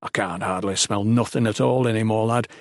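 A man's voice: fairly low pitch, fairly even intonation, brisk, dry recording, clean studio sound, natural voice. A man voicing a plump, scruffy old sailor turned priest with bossy, folksy, uneducated speech in an audiobook. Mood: gruff, commanding. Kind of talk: audiobook character voice.

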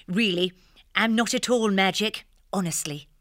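A woman's voice: medium pitch, a bit bright, no room sound, clean studio sound, close to an everyday voice. A woman doing a jolly posh hare. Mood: polite. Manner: brisk, jolly posh slang. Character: plucky, jolly female hare playing mystic.

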